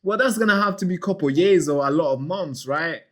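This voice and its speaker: A man's voice: a little high, fairly quick, fairly bright tone. A man hosting his own channel, giving loose, chatty news commentary straight to camera, with asides to his viewers. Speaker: channel host.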